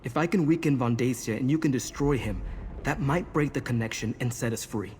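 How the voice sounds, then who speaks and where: A man's voice: mid-range voice, fairly quick, a little mellow, lightly acted. An actor playing an earnest, resolute young man in a video game, explaining things intently. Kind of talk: video game character acting. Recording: game music behind the voice.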